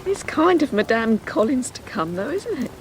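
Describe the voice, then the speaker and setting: A woman's voice: a little high, very animated, moderate pace, noisy background. A woman playing an anxious, self-doubting wife and mother in an audio drama, speaking in a plaintive, hesitant way. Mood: grieving.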